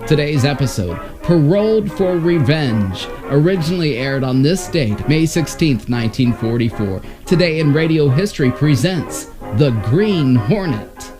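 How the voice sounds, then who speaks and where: Animated male announcer over music. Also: on the high side, unhurried, hardly any pauses. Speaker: presenter of an old-time radio channel. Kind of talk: scripted announcer narration.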